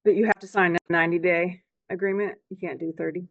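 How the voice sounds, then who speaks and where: A woman's voice: on the low side, fairly even intonation, fast, no room sound, phone-quality sound. A woman talking casually as a prospective client on an online sales call, somewhat hesitant and brief in her answers. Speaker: prospective client, part-time real estate agent.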